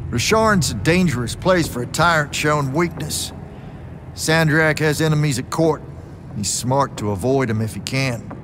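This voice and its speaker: An actor playing a gruff, sardonic older mentor in a video game, with a drawling, folksy way of talking. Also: male voice, a little high, normal speed, background noise, natural voice. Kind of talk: video game cutscene acting. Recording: game audio with orchestral score.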